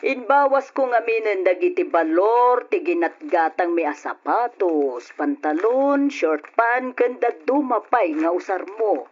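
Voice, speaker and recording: An actress playing a stingy, sharp-tongued, domineering aunt in an Ilocano radio drama, with an Ilocano Filipino accent. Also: female voice, mid-range voice, animated, unhurried, hardly any pauses. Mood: stern, indignant. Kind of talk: old-style Ilocano radio drama acting. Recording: dull, lo-fi radio drama recording.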